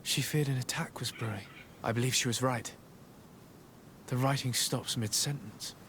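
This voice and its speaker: A man playing a composed, courteous young diplomat-adventurer in a video game, speaking in measured, earnest, formal phrases. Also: medium pitch, steady tone, a bit bright, slight background noise, natural voice. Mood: composed, grave. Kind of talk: video game cutscene acting.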